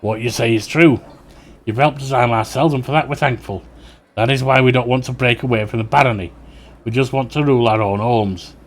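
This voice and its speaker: A man's voice: medium pitch, brisk, lightly acted. A man voicing a scornful, defiant rebel militia leader of a farming village.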